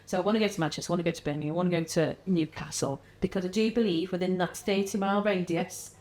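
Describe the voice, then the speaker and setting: A woman with a mild Liverpool-area English accent, a podcast guest chatting casually, acting out what other people say with lively pitch jumps and frequent laughs. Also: racing. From Liverpool area, England. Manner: animated, acts out quoted voices, frequent laughs. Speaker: guest, wellness business founder.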